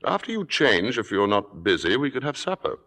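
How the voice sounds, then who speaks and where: An actor playing a suave, worldly theater critic who speaks in urbane, deliberate, formal phrases in an old-time radio drama. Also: male voice, medium pitch, sing-song, bright, forceful tone. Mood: probing, silky. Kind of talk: 1950s radio drama acting and narration. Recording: old radio broadcast, reverberant, music behind.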